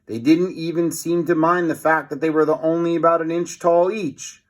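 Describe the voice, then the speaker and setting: A man's voice: a little high, level tone, moderate pace. A man reading a children's story aloud as a theatrical narrator, with teasing asides to young listeners. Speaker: storybook reader.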